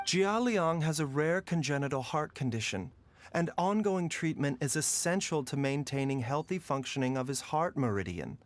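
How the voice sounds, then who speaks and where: A man voicing a calm, composed physician in game dialogue, speaking in a soft, formal, measured way. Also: a little high, close to an everyday voice. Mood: explaining. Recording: soft music underneath.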